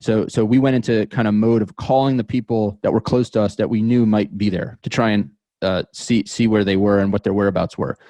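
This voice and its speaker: Low-voiced American man, reflective and halting. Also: fairly even intonation, warm tone, no echo. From New York metropolitan area, United States. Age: 30s. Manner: halting, thoughtful, repeats words as he goes. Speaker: podcast guest. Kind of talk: reflective interview storytelling.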